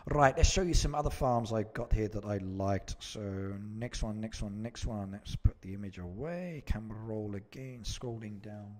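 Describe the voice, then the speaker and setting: A man's voice: lowish voice, normal speed, few pauses. Male game streamer: chatty, casual commentary, moves between chat and screen, repeats himself. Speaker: live stream host, gamer.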